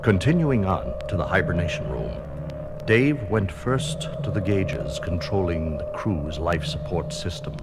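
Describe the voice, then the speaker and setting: A man's voice: deep voice, animated, a little slow. A man narrating a children's read-along story in a dramatic, scripted storytelling style. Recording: music under the voice.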